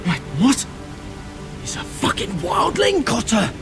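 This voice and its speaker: An actor playing a blunt, agitated, grumbling travel companion in a video game. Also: male voice, very high-pitched, huge pitch swings, measured pace, creaky, over music, full character voice. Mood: alarmed, complaining. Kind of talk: video game dramatic acting.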